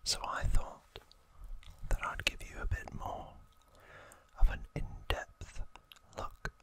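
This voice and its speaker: Male ASMR host, thirties: breathy whisper, very unhurried, gentle, with pauses between short phrases. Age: 30s. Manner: slow, hushed, gentle delivery with pauses. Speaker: host of an ASMR video channel. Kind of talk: ASMR trivia talk, whispered.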